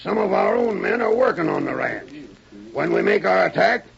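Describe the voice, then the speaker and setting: A man playing a domineering, angry outlaw gang boss in an old radio western, barking threats in rough American cowboy speech with a gravelly voice. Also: high-pitched, lively intonation, moderate pace, heavily acted. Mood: commanding. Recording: dull, lo-fi old radio recording.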